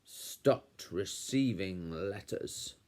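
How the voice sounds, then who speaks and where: A man's voice: sits low, expressive, very slow, darkish tone, pitched below the performer's own voice, exaggerated voice. A man voicing a fierce, hungry uncle wolf villain from a storybook, with a posh British accent, a growling snarl and a pompous, menacing manner. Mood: grumpy, menacing. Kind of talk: storybook character acting.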